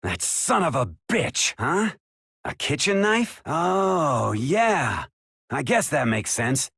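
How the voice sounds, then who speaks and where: A man's voice: a little high, big pitch swings, takes it slowly, creaky, bright, forceful tone, slightly rough, no echo, clean studio sound, full character voice. A man playing a brash, hot-headed, blunt young character in a voice drama. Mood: exasperated. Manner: blunt, exasperated, swearing. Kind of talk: character voice acting audition reel.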